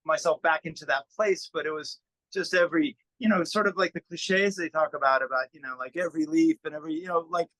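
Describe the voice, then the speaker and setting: The musician guest in a dry radio-style studio interview, a man with a bright, cutting voice, piecing his answers together haltingly and conversationally. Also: on the high side, dry recording, rough recording. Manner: halting, searching for words, trailing off with "you know".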